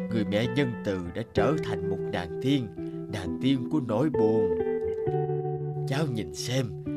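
A man voicing a kindly, wise old guardian angel in a cartoon, explaining things gently and solemnly. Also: medium pitch, close to an everyday voice. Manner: slow, sententious, gently explaining. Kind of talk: Vietnamese cartoon dubbing.

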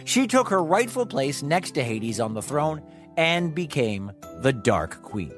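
A man's voice: on the high side, very animated, a little slow, music in the background. A man hosting and narrating scripted stories for children, with chatty asides. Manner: conversational asides. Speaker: host and storyteller. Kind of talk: animated scripted storytelling for children.

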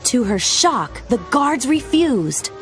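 A woman reading a children's storybook aloud as narrator, with expressive scripted storytelling delivery. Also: high voice, slow pace. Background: background music.

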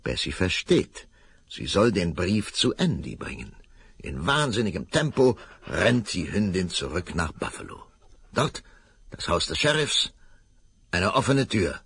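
Husky male storyteller, lively delivery. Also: sits low, unhurried, dry recording. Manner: vivid, dramatic storytelling. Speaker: narrator. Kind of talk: scripted storytelling narration.

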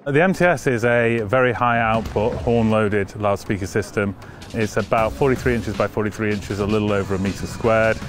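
A man's voice: lowish voice, normal speed. A man explaining a product in a promotional style. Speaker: product presenter. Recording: music bed behind the voice. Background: music.

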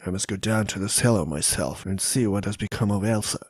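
A reader voicing the master of a fairy-tale household, speaking lower and quieter with grumbling orders. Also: a little fast, breathy edge, no room sound. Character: gruff middle-aged father, thirsty master of the house. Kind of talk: storybook reading.

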